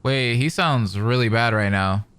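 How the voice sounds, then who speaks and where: A man's voice: mid-range voice, measured pace, a bit bright, smooth. A man giving casual reaction commentary on a game, responding aloud with feeling.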